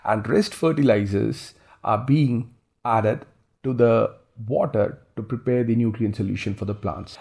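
A man presenting slides as an instructor, reading and explaining in a measured, instructional way. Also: fairly low pitch, vocal fry, a little mellow. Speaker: instructor, farming consultant.

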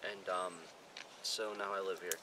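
A young man acting out amateur film dialogue in a halting, casual way, recorded outdoors on a camera mic. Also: low-pitched, steady tone, background noise. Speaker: amateur actor in film outtakes. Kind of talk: rehearsing scripted dialogue, casual. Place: outdoors in woods.

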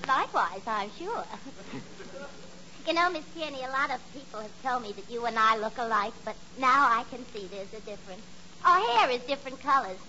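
1940s radio comedy: vain, dim young woman, shrill-high, swooping delivery, noisy old recording. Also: expressive, a little fast, sharp tone, muffled recording. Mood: smug. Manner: brash, gabby.

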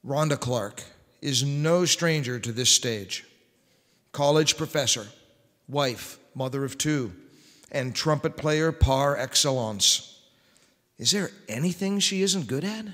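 A man's voice: mid-range voice, takes it slowly, fairly bright tone. A middle-aged man reading scripted announcements as host of an old-time radio style stage show, with a formal showman's delivery. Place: large church sanctuary stage. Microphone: microphone on a stand. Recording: reverberant hall.

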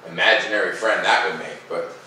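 Echoey, bright-voiced man reading aloud. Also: deep voice, a little fast. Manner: informal, expressive when reading. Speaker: host of a children's read-aloud. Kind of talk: picture-book read-aloud with casual asides. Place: living room by a fireplace, indoors. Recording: echoey room, distant mic, faint noise.

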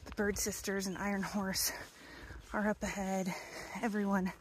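A woman's voice: mid-range voice, level tone, normal speed, slight background noise, rough recording. A woman in her twenties vlogging while thru-hiking a wooded mountain trail, talking casually into a phone held at arm's length, with lots of ums and some near-whispered asides. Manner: chatty, with frequent ums, drops to a near whisper at times. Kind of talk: casual vlog narration. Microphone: phone camera held at arm's length.

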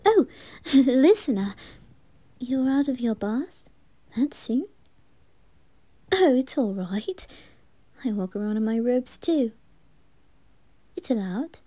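A woman playing a smitten, flustered young highborn man in an ASMR roleplay, murmuring softly and hesitantly. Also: expressive, takes it slowly, long pauses, dry recording. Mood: flustered, tender. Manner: soft, hesitant, breathy murmuring.

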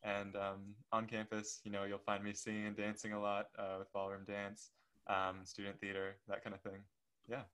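A man's voice: fairly low pitch, completely flat delivery, moderate pace, bright, forceful tone, smooth. A young man, a student panelist, casually introducing himself over a video call. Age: young adult. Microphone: video call microphone.

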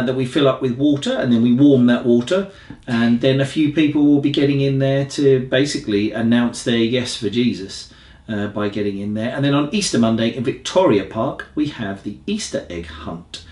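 A man's voice: mid-range voice, darkish tone. A man in his forties with an English accent, a pastor giving informal, laid-back church announcements. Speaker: senior pastor co-presenting a church newsletter. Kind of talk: casual announcements to camera. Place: small living room, on a sofa. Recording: roomy, echoey living room.

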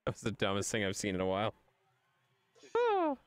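Excitable male streamer, wildly swooping pitch. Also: brisk. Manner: excitable, swoops up into yelps at crashes; self-mocking, addresses chat as guys. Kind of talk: live gameplay commentary. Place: home streaming room. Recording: game music under voice. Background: game music.

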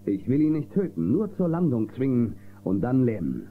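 A man's voice: mid-range voice, sing-song, moderate pace, close to an everyday voice. Man acting a confident space-western marshal, tinny cassette. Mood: resolute. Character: calm, brave space-western marshal, fearless protector. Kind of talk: German children's audio drama acting. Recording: tinny, noisy old cassette recording.

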